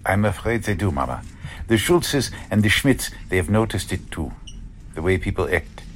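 A man acting an anxious, steady middle-aged immigrant shopkeeper in a radio drama. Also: fairly low pitch, normal speed, slight background noise. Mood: worried, resigned.

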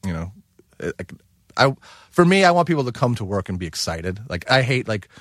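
Rambling low-voiced man chef, dry sound. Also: very animated, brisk, dry recording. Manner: rambling, full of "like" and "you know". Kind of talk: casual chat.

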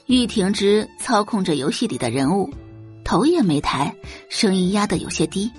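Female audiobook narrator: lively, varied intonation, dramatic scripted storytelling, close dry sound over faint music. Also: medium pitch, moderate pace.

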